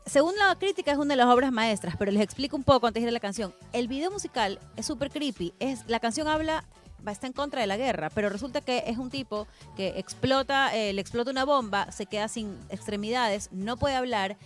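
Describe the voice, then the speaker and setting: Female talk show co-host, fairly high voice, colloquial and emphatic, faint music behind. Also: brisk. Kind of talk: casual conversation and song introductions.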